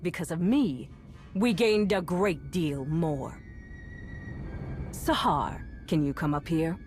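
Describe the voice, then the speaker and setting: A lowish calculating warlord from a video game, coldly menacing and solemn. She delivers her vision slowly and with measured authority, while soft music runs beneath her. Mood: resolute, solemn. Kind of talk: dramatic video game monologue. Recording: music under the voice.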